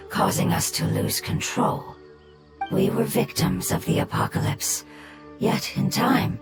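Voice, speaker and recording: A woman voicing an ancient nonhuman creature who speaks formally, precisely and in a literal way. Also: fairly high pitch. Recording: game audio with loud music underneath.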